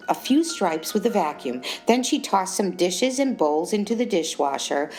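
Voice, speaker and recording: A woman's voice: lowish voice, darkish tone. A woman reading her own short story as scripted audiobook narration. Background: music.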